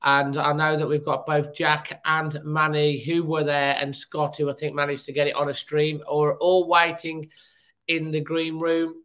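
Brief: man, hoarse from a cold, chatty fan-show host, slightly high and flat-toned. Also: measured pace. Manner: chatty, upbeat, fan talk. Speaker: football podcast host. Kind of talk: casual chat.

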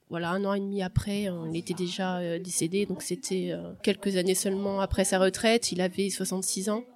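A woman's voice: medium pitch, darkish tone. Hesitant young French woman, reflective. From France. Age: young adult. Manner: hesitant, many fillers and restarts, thoughtful. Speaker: podcast guest. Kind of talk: reflective personal interview.

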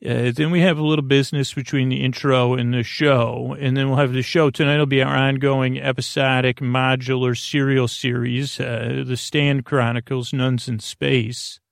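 A male podcast host with a gravelly, creaky voice at a middling pitch. He rambles through a bedtime monologue, halting and wandering off on tangents. The sound is close and dry. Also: little pitch movement, no room sound. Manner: meandering, halting, digressive.

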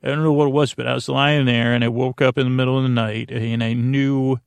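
A man hosting a sleep podcast in a rambling, halting monologue, trailing off and interrupting himself with whimsical, self-deprecating humor. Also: mid-range voice, level tone, gravelly, smooth, no echo. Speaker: podcast host.

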